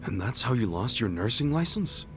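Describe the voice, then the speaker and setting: A man's voice: mid-range voice, big pitch swings, moderate pace, noisy background. An actor playing an earnest, plain-spoken male friend in a video game, talking colloquially and sympathetically with an American accent. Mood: sympathetic. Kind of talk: video game dub, conversational. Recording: music behind the voice.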